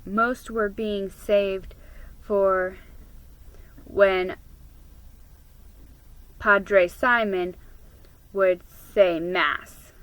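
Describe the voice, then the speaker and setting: Slow-paced woman reading a story. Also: medium pitch, fairly even intonation, a bit bright, no room sound, low-quality recording. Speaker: storybook reader. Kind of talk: scripted dramatic story narration.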